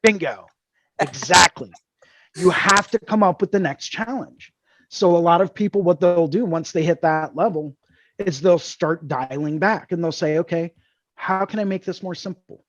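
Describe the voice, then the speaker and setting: A man's voice: sits high, normal speed, fairly bright tone, dry recording. A man hosting a tattoo drawing group over a video call, explaining ideas earnestly and repeating key words. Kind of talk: casual mentoring chat on a video call.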